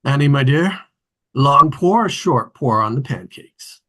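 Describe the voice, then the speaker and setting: Guy acting as a family dad, middle pitch voice, reading drama script lines. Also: lively intonation, a little slow, pushed and bright. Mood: playful, mock-solemn. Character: playful, mock-solemn family man and father. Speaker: actor at a table read. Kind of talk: scripted drama read aloud.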